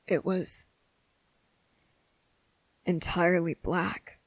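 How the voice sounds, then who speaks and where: A guarded young boy, played by a woman, speaks in a hushed ASMR roleplay. The voice is low and gravelly, recorded close and dry. He takes his time, halting between thoughts as he confronts a hidden monster. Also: little pitch movement, dry recording, heavily acted. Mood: quiet, reflective.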